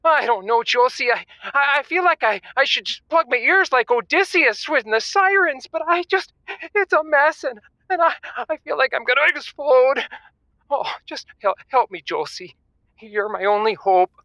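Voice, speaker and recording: Flustered, stammering bachelor caller. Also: male voice, very high voice, lively intonation, normal speed, pushed and bright, no room sound, heavily acted, rough recording. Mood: desperate. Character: nervous sexually frustrated bachelor calling a radio advice show. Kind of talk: comedic audio drama radio call-in.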